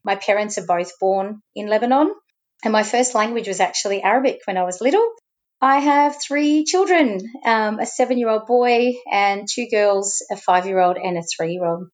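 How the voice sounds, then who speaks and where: A woman's voice: medium pitch, normal speed. Chatty Australian woman in her thirties. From Sydney, Australia. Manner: chatty, with restarts and "you know". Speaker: guest, yoga teacher and school teacher. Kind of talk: casual interview conversation.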